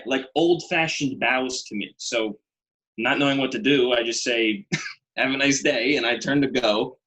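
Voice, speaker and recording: A man's voice: medium pitch, pushed and bright, low-quality recording. An actor playing a chatty, well-meaning delivery guy, rambling and mildly flustered in a casual video-call play. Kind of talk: casual one-sided call in a Zoom play.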